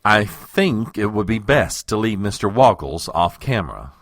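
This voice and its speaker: An actor playing a harried, world-weary chief of staff in a comedic audio drama, formal and exasperated. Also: male voice, fairly low pitch, big pitch swings, a little slow, fairly bright tone, no room sound, studio quality, exaggerated voice. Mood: awkwardly tactful. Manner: formal, long-suffering, exasperated.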